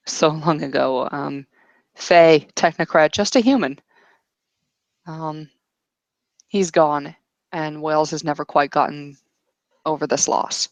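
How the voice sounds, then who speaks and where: Creaky, low-voiced woman narrating a roleplaying game. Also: no echo, muffled recording. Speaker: game master. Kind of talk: improvised tabletop roleplay narration.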